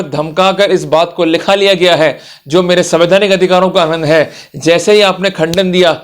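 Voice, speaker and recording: Male lecturer, notably high voice, emphatic and instructive, addressing online viewers directly. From India. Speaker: lawyer giving a law lecture.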